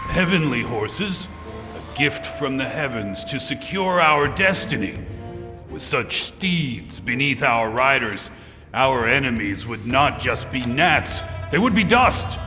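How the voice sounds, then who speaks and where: A man playing a proud, ambitious emperor of ancient China in an audio drama, speaking gravely and with command. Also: medium pitch, very animated. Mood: rousing, commanding. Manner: grave, imperious, deliberate. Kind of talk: amateur audio drama acting. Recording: long hall reverb, loud music behind.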